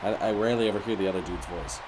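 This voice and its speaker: A man's voice: deep voice, racing, noisy background. Nasal, congested man commentating a game. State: congested after being sick. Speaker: video game streamer and host. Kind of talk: casual gameplay commentary.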